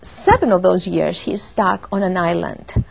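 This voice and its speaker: A woman with a Greek accent explains things as an interview guest. Her voice is fairly low with a lot of creak. She pauses and hesitates often as she builds her explanations. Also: poor audio. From Greece. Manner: hesitant, halting phrasing with many ums. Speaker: guest lecturer in classics.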